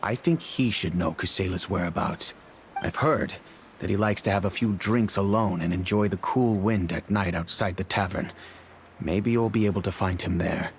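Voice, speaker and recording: An actor voicing a sober, remorseful middle-aged father in a video game, speaking in a measured, earnest, formal way. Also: deep voice, a little hiss or hum.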